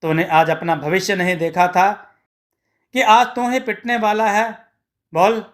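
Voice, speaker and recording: A man's voice: high-pitched, flat delivery, measured pace, fairly bright tone. A man voicing a brash, lazy farmer posing as a boastful holy beggar in a moral story, with a pompous, bossy manner. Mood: angry, scornful.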